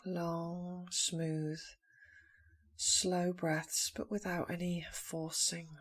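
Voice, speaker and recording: A woman leading a guided meditation in a soft, breathy voice, speaking calmly in short, slow phrases with long pauses. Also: lowish voice. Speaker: meditation teacher.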